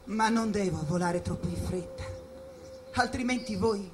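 A woman acting a solitary, haunted spirit in a theatrical radio monologue, incantatory and repeating phrases as the delivery swings between hush and cries. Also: medium pitch, moderate pace, close to an everyday voice. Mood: wistful, hushed. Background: live jazz percussion and music.

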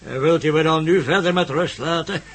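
An actor playing a wary old native villager in a radio play, with a husky voice and a halting, hesitant way of talking. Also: male voice, fairly high pitch, a little hiss or hum, close to an everyday voice. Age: older. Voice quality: raspy, husky.

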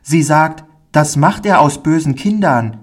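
A man's voice: on the high side, lively intonation, unhurried, pitched above the performer's own voice. A man voicing a timid, naive little village boy from a storybook, eager and anxious. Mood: fearful, naive. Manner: anxious, naive, dialect-tinged childlike speech.

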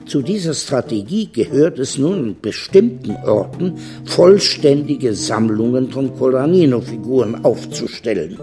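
A man playing a smooth, calmly menacing corporate lawyer in a radio crime drama, speaking formally and with measured eloquence. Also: mid-range voice. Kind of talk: German radio play dialogue.

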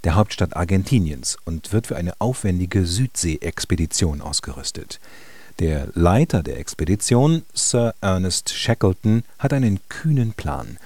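A male narrator with a low-pitched voice reads a scripted radio story. His telling is measured, and the sound is dry, with no room around it. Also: a little mellow, no room sound. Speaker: narrator and announcer.

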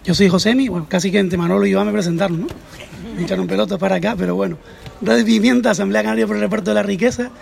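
Gravelly, high-voiced man introducing himself. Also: fairly even intonation, rapid-fire, darkish tone. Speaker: community activist and radio organizer. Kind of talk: casual self-introduction to a group.

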